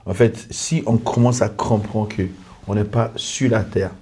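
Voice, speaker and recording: Earnest pastor. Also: male voice, fairly low pitch, fairly even intonation, measured pace, mellow. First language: English. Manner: earnest, repetitive, lists in short clauses. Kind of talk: testimony in a podcast conversation.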